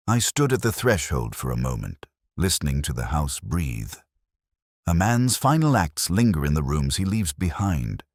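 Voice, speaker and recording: A man narrating a scripted first-person detective story in a measured, solemn, literary manner. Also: rumbling low, no room sound, studio quality.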